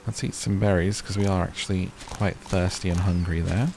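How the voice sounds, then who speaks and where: A man's voice: low voice, little pitch movement, fairly quick, creaky, a little mellow, a little hiss or hum. A man doing casual gaming commentary, chatting and musing to himself and to viewers as he plays and reads out on-screen text. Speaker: gaming video host.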